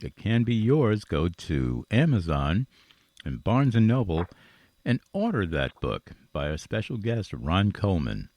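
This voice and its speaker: A man's voice: low-pitched, very animated, measured pace, a little mellow, no echo. An American man hosting a promotional radio interview, with a folksy, salesman-like way of talking. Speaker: radio show host.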